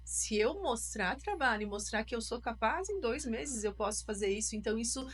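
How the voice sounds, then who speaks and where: Podcast hostess with a high voice. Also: female voice, expressive, a little fast. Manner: agreeing, builds on the guest's points. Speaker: podcast host. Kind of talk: casual podcast conversation.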